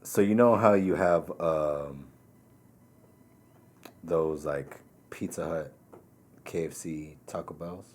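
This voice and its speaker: A man's voice: rumbling low, slow, long pauses. A man hosting a casual podcast, joking and asking questions, who cannot pronounce his R's. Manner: joking, asks questions, frequent short backchannels. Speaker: podcast host. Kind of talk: casual chat.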